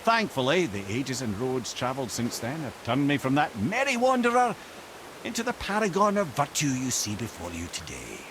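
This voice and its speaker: A witty old Scottish counselor from a video game. He tells tales with wry, eloquent flourish and a very lively, swooping pitch, with clear ambient noise behind him. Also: moderate pace. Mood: amused, reminiscing. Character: witty, wry old counselor, a well-travelled talking head. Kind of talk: video game character dialogue.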